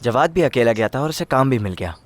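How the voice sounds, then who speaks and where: A man's voice: medium pitch, animated, fast talker, natural voice. A man playing a wronged, determined young son in a dubbed drama, speaking earnestly. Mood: determined, pained. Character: resolute, wronged young son protective of his mother.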